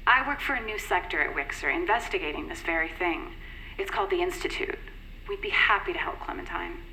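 A woman's voice: on the low side, a little fast, creaky, bright, forceful tone, slight background noise, low-quality recording. A woman playing a reassuring, persuasive corporate agent in an audio drama, polished and soothing. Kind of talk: naturalistic audio drama acting.

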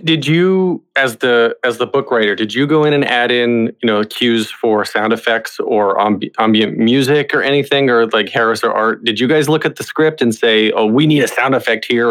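A man's voice: medium pitch, moderate pace, a bit bright, rough recording. A man hosting a podcast interview, asking conversational questions and rephrasing them as he goes.

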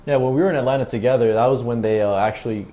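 A man's voice: medium pitch, very fast, dark tone, a little hiss or hum. A man hosting a baseball podcast, asking questions and commenting in a casual interview style. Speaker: podcast host, baseball writer.

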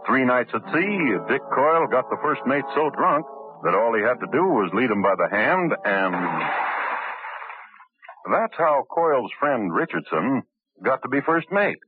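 A male narrator telling a story in a measured, storytelling manner for a radio drama. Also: medium pitch, sing-song. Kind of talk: scripted narration. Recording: tinny old radio broadcast with music.

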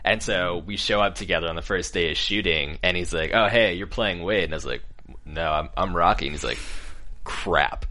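A young man with a deep voice, a guest actor telling casual stories and acting out quoted lines. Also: normal speed. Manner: relaxed storytelling, acts out quotes.

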